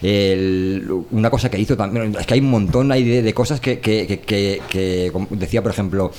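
Halting Spanish man with noise behind him. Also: lowish voice, darkish tone. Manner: halting, repeats words as he searches. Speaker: radio show regular, course pupil. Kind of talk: casual round-table chat. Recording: clear background noise, room ambience.

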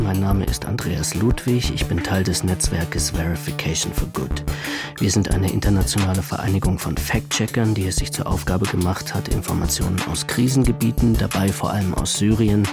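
Formal fact-checker, male, lowish pitch, careful letter dictation, music underneath. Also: moderate pace. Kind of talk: radio drama, formal letter read aloud. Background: prominent music.

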